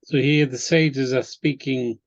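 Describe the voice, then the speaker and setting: A man from Malawi, a member of a study group, chatting in a relaxed way with short agreeing replies. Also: mid-range voice, unhurried. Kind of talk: casual discussion. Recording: dull lo-fi recording.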